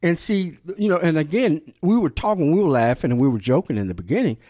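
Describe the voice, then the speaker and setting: A man hosting a podcast in an animated, affirming way, reflecting on his own past. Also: a little high, quick pace, no room sound, clean studio sound. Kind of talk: podcast conversation.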